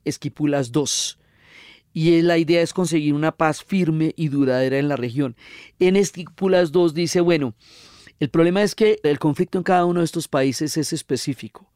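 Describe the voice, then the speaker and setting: Man explaining history, clear higher-pitched voice. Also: darkish tone, no echo, clean studio sound. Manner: didactic, emphatic, rhetorical questions and repetition. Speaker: history lecturer and narrator. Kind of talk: conversational explanatory history talk.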